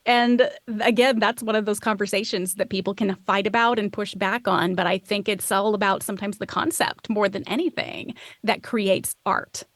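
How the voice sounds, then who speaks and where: A woman's voice: mid-range voice, fairly even intonation, normal speed, hardly any pauses, fairly bright tone, no room sound. A woman giving reflective, conversational interview answers, thinking aloud and questioning herself as she goes. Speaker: podcast host as guest expert.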